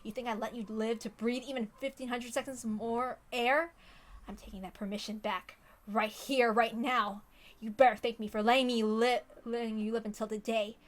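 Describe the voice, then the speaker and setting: A woman acts out a teenage boy seething with vengeful rage. The voice is fairly high for the part, and the delivery is intense, menacing and theatrical, like a visual novel monologue. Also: steady tone, normal speed, close to an everyday voice. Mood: cold menace.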